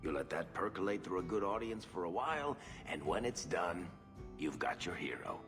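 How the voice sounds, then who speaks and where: A man's voice: sits low, animated, moderate pace. A male actor playing a roguish, cocky dwarf storyteller in a video game, with a wry, theatrical way of talking. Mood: teasing. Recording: reverberant voice with loud music behind.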